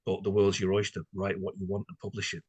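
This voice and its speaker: A man's voice: low voice, completely flat delivery, fast, darkish tone, husky, dry recording. A man co-hosting a podcast in casual, reflective chat, earnest and slightly florid in his wording. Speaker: podcast co-host.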